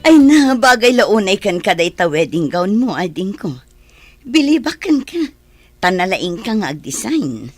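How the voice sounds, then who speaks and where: A woman's voice: medium pitch, very animated, measured pace, soft background music. A woman playing a doting, encouraging elder sister in an audio drama. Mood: admiring.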